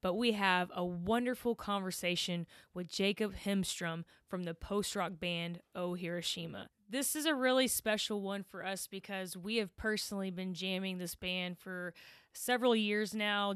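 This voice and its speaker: A Texan woman hosts a podcast in a casual, conversational way. Her voice is smooth and clear, recorded close and dry. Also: medium pitch, a bit bright, dry recording. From Texas, United States. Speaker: podcast host.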